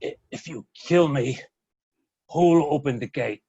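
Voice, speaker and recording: Defiant male captive, actor at a table read: husky, strained, muffled lo-fi sound. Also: a little high, a little slow, dark tone, dry recording, exaggerated voice. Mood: strained defiance.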